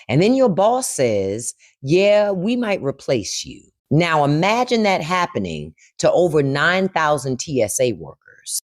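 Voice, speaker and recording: Lively, low-voiced woman commentator. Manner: lively, rhetorical, folksy asides. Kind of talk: scripted political commentary.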